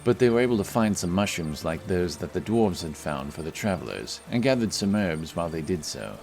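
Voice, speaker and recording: Very deep-voiced male fantasy narrator. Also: very animated, brisk, darkish tone, a little hiss or hum. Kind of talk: scripted fantasy story narration.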